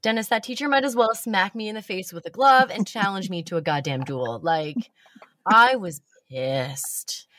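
A woman's voice: medium pitch, lively intonation, normal speed, a bit bright, no echo. A woman hosting a casual chat, telling stories about herself with blunt, self-mocking humor and the odd swear word.

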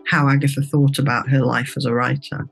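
Man, husky voice, conversational expert commentary, chatty informal explaining, faint music behind. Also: medium pitch, flat delivery, brisk, fairly bright tone. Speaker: interviewed literary commentator.

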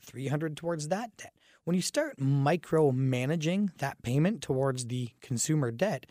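A man with a raspy voice from a cold hosts a casual radio talk show, chatting with fillers and restarts. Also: fairly high pitch, a little mellow, no echo, clean studio sound. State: fighting a cold, groggy. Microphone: studio microphone.

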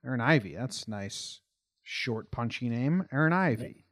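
A man's voice: mid-range voice, lively intonation, normal speed, a bit bright, no room sound, studio quality. An American man talking casually and bluntly as the host of a sports podcast, with emphatic delivery.

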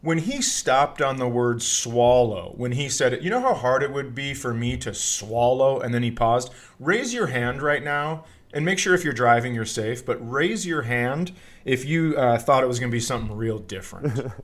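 A man with an average-pitched voice co-hosts a casual comedy podcast. His delivery is dry and teasing, in the manner of easy banter. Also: lively intonation, moderate pace. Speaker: podcast co-host.

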